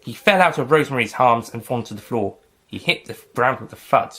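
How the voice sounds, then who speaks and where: A male storyteller reading narration. His voice is bright and husky. He reads plainly and evenly between the voiced lines. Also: medium pitch, expressive, a little fast. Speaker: storyteller and writer.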